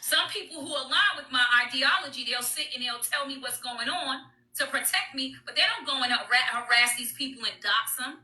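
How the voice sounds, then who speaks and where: A woman with a high, piercing, very bright voice scolds her audience in blunt, quick bursts. She sounds like she is in an echoey room, and the clip is replayed during someone else's live stream. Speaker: livestreamer. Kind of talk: heated livestream rant.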